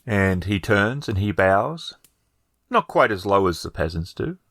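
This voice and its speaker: A man narrating a tabletop game as game master, improvising with halting, hesitant phrasing. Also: lowish voice, very animated, a bit bright, no room sound, studio quality.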